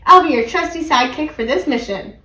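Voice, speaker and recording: A woman reading the part of a gentle, supportive mother in a children's storybook, in a reassuring way. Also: sits high, brisk, reverberant, recorded from a distance, slight background noise.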